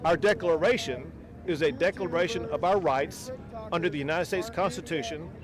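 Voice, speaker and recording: A man speaking as a governor at a press conference, emphatic and forceful, outdoors by a river. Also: on the high side, moderate pace, crowd noise. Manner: forceful, emphatic political speech. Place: outdoors by a river border crossing.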